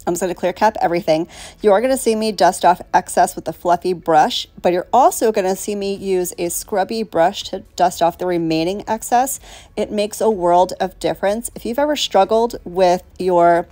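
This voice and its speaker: A woman's voice: lowish voice, normal speed, warm tone, dry recording. A middle-aged woman, congested with a cold, chatting casually to viewers in a home tutorial voice-over and poking fun at herself. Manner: chatty, self-deprecating, talks to viewers as y'all. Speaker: nail tutorial host. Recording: dry close voice-over with faint music.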